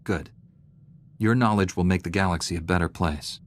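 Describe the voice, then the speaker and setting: An actor playing a principled, serious Jedi knight in a video game, with a deep, measured voice. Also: male voice, lightly acted. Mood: sincere, calm. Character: earnest, principled, steady male Jedi knight.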